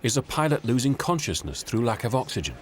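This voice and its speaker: A man reading scripted documentary narration in a clear, measured way. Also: mid-range voice, big pitch swings.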